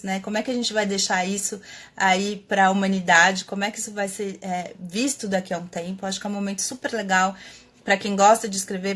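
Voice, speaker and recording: Brief: Brazilian woman host, mid-pitched, reflective live talk, halting with fillers. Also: a bit bright. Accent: Brazilian Portuguese. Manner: thinking aloud with many fillers and restarts. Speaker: host of a live stream on writing. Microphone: webcam or computer mic, live stream.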